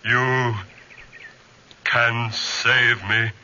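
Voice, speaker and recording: Huge man-made creature, very slow halting speech, hard sharp tone, pleading outcast, dull old radio sound. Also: mid-range voice, level tone, slight background noise, heavily acted. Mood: bitter, insistent. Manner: slow, halting, deliberate phrasing. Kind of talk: 1940s radio horror acting.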